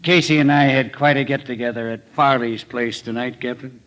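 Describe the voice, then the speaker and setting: A man's voice: mid-range voice, fairly even intonation, moderate pace, a bit bright, highs cut off, lightly acted. An actor playing a smug, cold man from the gambling rackets in 1940s radio drama, with sneering tough-guy slang. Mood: smug, mocking.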